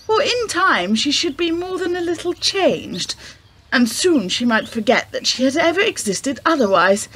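A wry, theatrical English wife, acted by a woman for a radio play. Her voice is high and very animated. She speaks in wordy, wounded, melodramatic phrases. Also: normal speed, a little hiss or hum. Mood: arch, wistful. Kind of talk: period-flavoured radio play acting.

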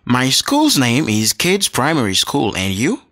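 Man reading lively textbook dialogues. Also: medium pitch, unhurried, no room sound, clean studio sound. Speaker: textbook audio reader. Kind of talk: scripted dialogue reading for learners.

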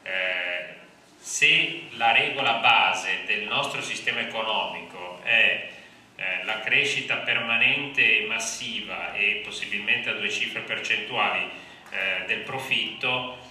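A middle-aged man giving a public talk in halting, reflective phrases. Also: fairly low pitch, bright, forceful tone. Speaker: panel speaker. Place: indoor conference hall. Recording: echoey room, distant mic, faint noise.

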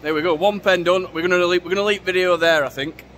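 A chatty man talks to a handheld camera in an open farm barn. His voice sits a little high, and machinery and farmyard noise carry clearly behind him. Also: fast. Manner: chatty, relaxed, with many fillers. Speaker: farmer and presenter. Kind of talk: casual vlog narration. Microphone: handheld selfie camera. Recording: outdoor noise, machinery nearby.

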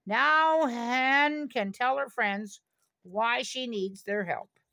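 Slow-paced older woman reading a storybook. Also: fairly high pitch, gravelly, bright, forceful tone. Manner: slow, deliberate, with small asides to the listener. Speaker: storybook reader. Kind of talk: read-aloud children's story.

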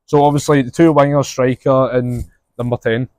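A man's voice: medium pitch, steady tone, normal speed, a little mellow, dry recording. A young Scottish man co-hosting a football podcast outdoors in a stadium, asking eager questions on a lapel mic. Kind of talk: casual football chat. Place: outdoors in an empty stadium stand.

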